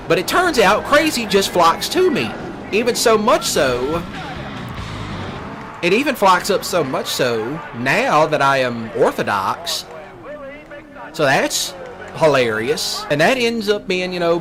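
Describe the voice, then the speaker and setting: A young man with a Southern US accent rants and rambles casually over a let's play, in a colloquial, jokey, self-deprecating way. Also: a little high. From Mississippi, US. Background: game music and vehicle sounds.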